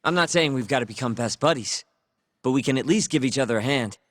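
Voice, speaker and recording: A man's voice: mid-range voice, quick pace, a bit bright, dry recording, clean studio sound, natural voice. An actor playing a cool, self-assured young male hacker in a naturalistic video game scene. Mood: confident. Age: young adult.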